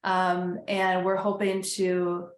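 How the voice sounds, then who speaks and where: A woman's voice: fairly low pitch, completely flat delivery, slow pace, reverberant. A woman hosting a podcast, chatting casually as she interviews a guest. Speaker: podcast host.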